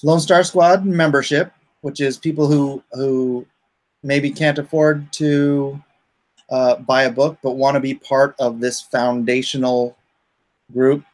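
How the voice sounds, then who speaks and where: A man's voice: fairly high pitch, steady tone, measured pace. A man hosting a casual livestream as a comic creator, rambling and thinking aloud as he talks through his campaign.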